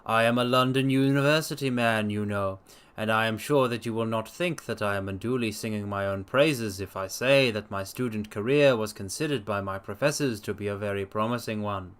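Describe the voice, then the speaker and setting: A man voicing an earnest, modest young Victorian doctor, formal and measured, in a dramatic story reading. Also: fairly low pitch, a bit bright, clean tone, voice pushed down, natural voice.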